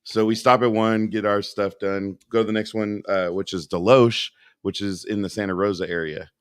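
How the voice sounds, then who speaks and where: Animated storytelling man in his forties. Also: fairly low pitch, normal speed, clear, resonant tone, dry recording, studio quality. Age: 40s. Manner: animated storyteller, acts out quoted voices. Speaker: podcast co-host. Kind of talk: casual podcast chat.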